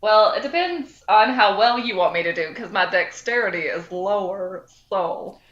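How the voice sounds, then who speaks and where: A woman's voice: mid-range voice, expressive, normal speed, a bit bright. A woman playing a tabletop game, chatting casually and hesitantly, with some swearing. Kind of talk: casual chat during play. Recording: roomy, slightly dull sound.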